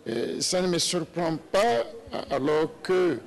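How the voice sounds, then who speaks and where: A man in his sixties from Nigeria, a papal nuncio, answering interview questions slowly with many hesitations and restarts. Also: fairly high pitch, lively intonation, gravelly. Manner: slow and hesitant with many "euh" fillers and restarts. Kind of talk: slow, halting interview answers.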